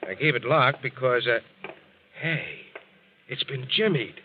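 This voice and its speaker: A man playing a gruff, hot-tempered ship's captain in a 1940s radio drama. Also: mid-range voice, a little slow, close to an everyday voice. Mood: puzzled. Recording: muffled, very noisy vintage radio recording.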